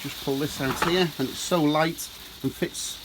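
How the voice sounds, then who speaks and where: A man's voice: fairly high pitch, steady tone, a little slow, noisy background. A man giving a chatty walkaround tour of a motorhome, filmed on a handheld camera, talking to viewers as folks. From United Kingdom. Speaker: motorhome dealer and reviewer. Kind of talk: walkaround video review. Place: inside a motorhome, rain outside. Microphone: handheld camera mic.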